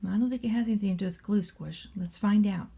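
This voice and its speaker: A woman with a soft-edged, mellow tone and middle pitch reads a children's story aloud. She narrates in a measured, expressive way, as a nanny would to a little one. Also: gravelly, husky. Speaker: storybook reader playing a nanny.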